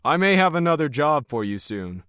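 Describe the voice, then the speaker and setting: A man's voice: fairly high pitch, very animated, moderate pace, fairly bright tone, clear, resonant tone, no room sound, clean studio sound, pitched above the performer's own voice. A man voicing a cold, arrogant rich villain in an audio story, pompous, sly and goading. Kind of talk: audio fiction character dialogue.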